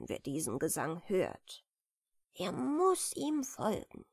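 A woman narrating a fairy tale as a bedtime audiobook, reading softly and soothingly with deliberate care. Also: a little high, gravelly, dry recording, studio quality. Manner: soft, soothing, deliberate storytelling.

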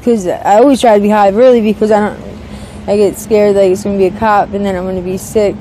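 Female street interviewee, 30s, mellow tone, halting casual delivery, some traffic noise behind. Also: medium pitch, steady tone, poor audio. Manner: hesitant, halting, peppered with "like". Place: outdoors on a city street by a brick wall. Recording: outdoor street noise, passing vehicles.

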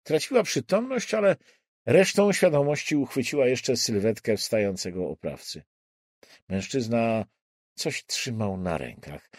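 A man narrating a crime thriller audiobook in a deliberate, suspenseful way with dramatic pauses. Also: medium pitch, animated, no echo, studio quality.

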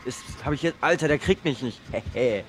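Excitable young man gaming with a friend. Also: mid-range voice, normal speed, a little hiss or hum. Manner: excitable, swearing, yelps when chased. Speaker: gamer co-op player. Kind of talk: casual let's play chat.

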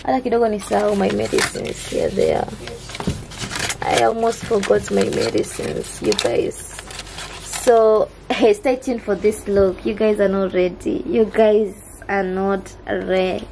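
A young woman from Kenya with a Kenyan accent, chatting to camera in a casual vlog, hoarse with a sore throat and switching between English and Swahili. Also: mid-range voice, lively intonation, a little slow, background noise. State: hoarse, losing her voice. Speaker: vlogger. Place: indoors. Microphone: camera mic held close.

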